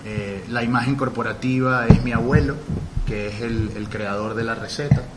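A man from Venezuela talks about his vermouth bar in passionate detail, often pausing on small hesitations. A clear background noise sits under his voice, and his pitch is mid-range. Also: fairly even intonation. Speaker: guest, vermouth bar owner. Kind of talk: interview.

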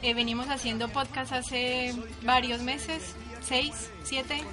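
A woman from Colombia, chatting casually as a radio co-host, bubbly and quick to laugh at herself. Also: high-pitched, steady tone, over music. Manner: bubbly, laughs often, self-deprecating. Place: radio studio.

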